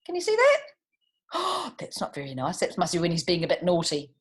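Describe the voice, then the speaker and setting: A woman's voice: lowish voice, huge pitch swings, a little fast. A woman hosting a children's storytime, reading aloud animatedly and chatting to young listeners with questions and asides. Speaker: children's storytime reader and host.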